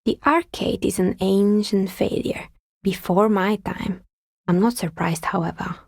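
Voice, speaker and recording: An actress playing a wry, world-weary artisan dicemaker in a video game, speaking in a dry, understated, matter-of-fact way with a husky, breathy voice. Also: female voice, medium pitch, steady tone, a little slow, no echo. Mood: wry, resigned. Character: wry, world-weary woman artisan who makes novelty dice. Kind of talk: video game character dialogue.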